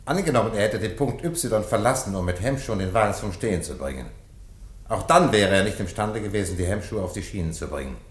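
A man playing an emphatic defense lawyer, pleading rhetorically and deliberately in a 1950s radio play. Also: fairly low pitch, expressive, natural voice. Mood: earnest pleading. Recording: 1950s radio recording, echoey courtroom.

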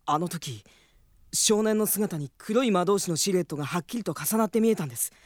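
A man playing a polite, studious young mage in a radio drama, explaining things gravely and carefully with respect. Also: high voice, expressive, fairly quick, no room sound, clean studio sound.

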